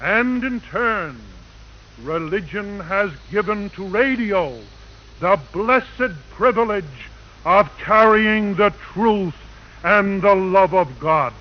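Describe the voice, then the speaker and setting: A high-voiced man delivers a solemn religious address with an orator's measured, slow delivery. The sound is that of a dull, muffled 1940s radio broadcast. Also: lively intonation. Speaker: clergyman speaker.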